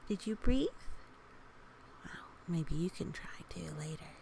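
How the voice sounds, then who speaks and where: A woman reading a children's story aloud in a gentle, encouraging way, with casual asides to young listeners and a creaky voice that often softens toward a whisper. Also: on the low side, animated, takes it slowly. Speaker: storybook reader. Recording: faint background noise.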